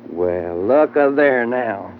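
An actor playing a folksy, feisty Texan cowboy sidekick with a rustic drawl in an old radio adventure. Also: male voice, mid-range voice, lively intonation, slow. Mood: easygoing. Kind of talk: 1940s-50s radio adventure acting. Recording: tinny, faintly noisy old radio recording.

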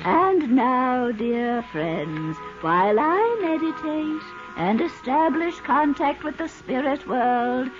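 Phony séance medium: high-pitched woman, grandly theatrical, brassy underneath, 1950s radio play on dull old recording. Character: fraudulent spirit medium, ample, theatrical, brassy when cornered. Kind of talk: 1950s radio drama acting.

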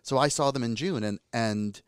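Man, American accent with a Calabrian tinge, animated, restarts sentences, mid pitch, dry sound. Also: moderate pace, smooth, no echo. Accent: American with Calabrian Italian influence. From United States, summers in Calabria. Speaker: filmmaker guest. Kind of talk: casual interview conversation.

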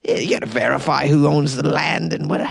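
A guy doing a bumbling small-town belgian mayor, goofy fake accent, rambles and stalls, kinda high and dry. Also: steady tone, vocal fry, husky, no echo, exaggerated voice. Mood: rambling. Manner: mock foreign accent, rambling and halting.

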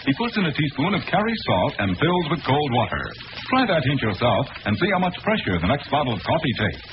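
A man announcing a sponsor's message in emphatic, salesman-like 1940s radio style. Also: medium pitch. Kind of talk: 1940s radio advertisement reading. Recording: muffled, noisy old radio recording.

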